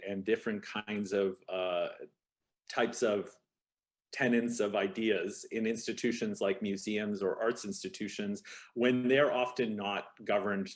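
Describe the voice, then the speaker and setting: Lower-pitched man on a panel. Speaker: panelist, editor. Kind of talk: panel discussion, spontaneous opinion.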